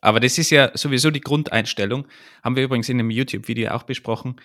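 Austrian guy co-hosting a tech podcast, dry self-mocking humor, mid pitch. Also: very fast, fairly bright tone. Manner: wry, self-deprecating. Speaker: podcast co-host. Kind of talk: casual podcast chat.

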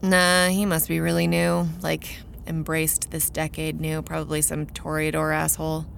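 An actress playing a cynical, bitter young vampire researcher in an audio drama, with a dry, sarcastic deadpan. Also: female voice, deep voice, normal speed, creaky, a little hiss or hum. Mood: dismissive. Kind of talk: audio drama acting with first-person narration.

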